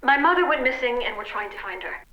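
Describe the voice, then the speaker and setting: A woman's voice: fairly high pitch, expressive, fast, highs cut off, a little hiss or hum, close to an everyday voice. A woman playing an earnest, determined wife and amateur sleuth in an old-time radio comedy, with dry asides. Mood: worried, determined. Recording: echoey, band-limited radio-style sound.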